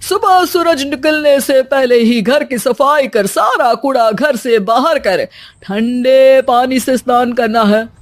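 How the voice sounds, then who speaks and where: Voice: sits high, slow pace, no echo. A performer with an Indian accent voicing a pompous fake holy man who hands out remedies in a family story.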